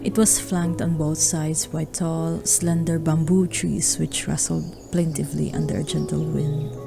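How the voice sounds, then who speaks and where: A woman narrating a radio drama, reading scripted literary prose in a measured way. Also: low voice, little pitch movement, vocal fry, over music.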